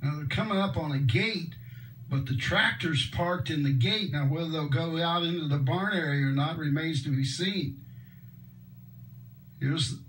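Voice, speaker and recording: A male race announcer with a high voice calls the action live in a playful, drawn-out way. His delivery is slow and deliberate. The sound is distant and noisy, as if recorded off a screen. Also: fairly bright tone, roomy sound. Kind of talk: live commentary, mock race call.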